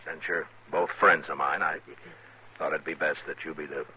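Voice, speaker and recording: An actor playing a stoic, gruff American frontier marshal with a dry, terse, husky delivery in a western radio drama. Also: male voice, extremely low pitch, fairly even intonation, normal speed, pushed and bright, heavily acted. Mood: exasperated. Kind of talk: 1950s western radio acting. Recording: muffled old radio broadcast.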